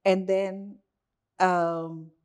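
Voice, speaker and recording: Kenyan woman coach, kinda low and mellow, thoughtful, asks herself questions out loud. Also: level tone, very slow, clear, resonant tone. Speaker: guest, coach. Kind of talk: reflective interview conversation.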